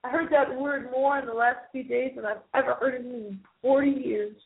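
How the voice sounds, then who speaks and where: A middle-aged woman hosts a late-night horror radio show in a fairly high voice. She speaks theatrically, repeating phrases and drifting into asides. The sound is thin and narrow, like a telephone connection. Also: steady tone, normal speed, vocal fry, heavy background noise. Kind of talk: dramatic, rambling late-night horror movie show monologue.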